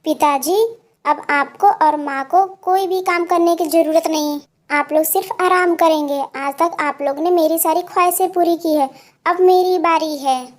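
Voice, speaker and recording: A very high-pitched poor village boy, acted by a woman for an animated moral tale. He speaks earnestly and pleadingly, as a devoted son does to his parents. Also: measured pace. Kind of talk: Hindi animated moral story dialogue.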